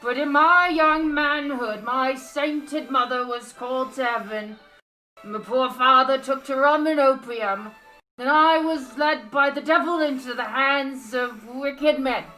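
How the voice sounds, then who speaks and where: A woman's voice: high-pitched, sharp tone, faint music behind, poor audio. A woman acting a boozy, repentant sinner in a radio play, pleading melodramatically in a quavering voice. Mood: maudlin, pleading. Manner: slow, melodramatic confessional pleading. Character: boozy, quavering repentant sinner giving tearful mission testimony.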